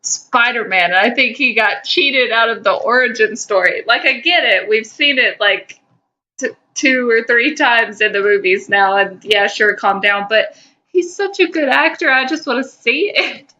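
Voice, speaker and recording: A woman's voice: a little high, lively intonation, normal speed, pushed and bright, highs cut off. A woman co-hosting a casual pop culture podcast, informal and slangy as she shares her opinions. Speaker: podcast co-host. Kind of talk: casual podcast banter about films and comics.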